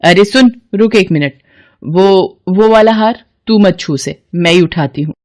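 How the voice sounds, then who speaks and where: A woman's voice: mid-range voice, measured pace, a little mellow, natural voice. Storybook villainess: arrogant, greedy rich mistress, imperious and cutting, voiced by a female narrator. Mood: boastful, scornful. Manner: haughty, bossy, scornful.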